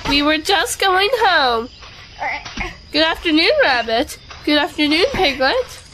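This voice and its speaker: Woman as a very high, unhurried mother kangaroo. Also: lively intonation, pushed and bright, slight background noise, full character voice, poor audio. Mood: polite, motherly. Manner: motherly, unhurried, politely dismissive. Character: brisk, doting mother kangaroo, politely uninterested. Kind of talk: children's storybook audio drama.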